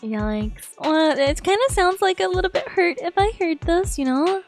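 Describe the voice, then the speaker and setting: A woman's voice: very high-pitched, lively intonation, normal speed, soft background music. A woman game streamer giving chatty, exclamatory live reactions in a casual style.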